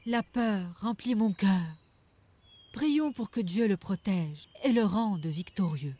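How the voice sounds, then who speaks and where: A woman's voice: medium pitch, animated, takes it slowly, dark tone. An actress voicing a devout, tender woman believer in a cartoon. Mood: fearful. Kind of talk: animated cartoon acting.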